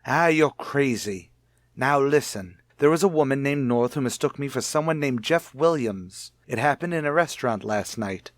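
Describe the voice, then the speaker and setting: A man's voice: medium pitch, animated, normal speed, dry recording, close to an everyday voice. A man acting as a curt, indignant accused husband in a radio mystery. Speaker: actor.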